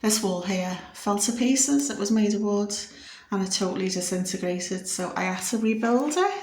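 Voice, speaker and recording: A woman's voice: medium pitch, moderate pace, a little mellow. A woman in her fifties with a Liverpool accent, chatting casually and matter-of-factly as she gives a home vlog tour in a rambling way. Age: 50s. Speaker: home renovation vlogger. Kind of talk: casual house tour chat. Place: inside a house.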